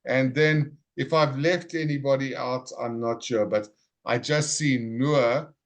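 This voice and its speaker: A male English teacher runs his online class over a video call. He speaks at an ordinary mid pitch, slows down to repeat each student's name clearly, and keeps a friendly, encouraging manner. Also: steady tone.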